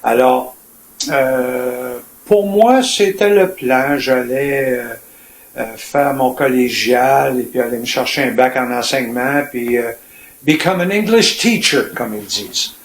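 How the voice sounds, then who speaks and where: An older man in his seventies from Quebec, with a Quebec French accent, telling his life story in a reflective, colloquial, measured way as a retired police officer. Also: medium pitch. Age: 70s. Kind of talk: personal storytelling in an interview. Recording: echoey room with faint noise.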